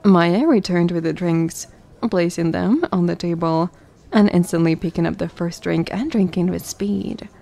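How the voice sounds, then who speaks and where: A woman's voice: fairly low pitch, measured pace, creaky, mellow. A woman narrating a scripted story for an audio drama.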